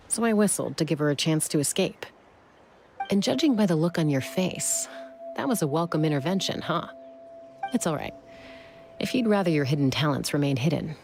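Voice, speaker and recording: A woman's voice: sits low, expressive, normal speed, long pauses, darkish tone, slight background noise. A woman playing a cool, composed young warrior in a video game, speaking in a laid-back, assured way. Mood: frank. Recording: music behind the voice.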